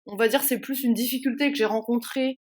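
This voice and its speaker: A woman's voice: a little high, fairly even intonation, brisk. A woman in her twenties from France, an interview guest speaking earnestly and reflectively with fillers. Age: 20s. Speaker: guest, student. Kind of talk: casual interview talk.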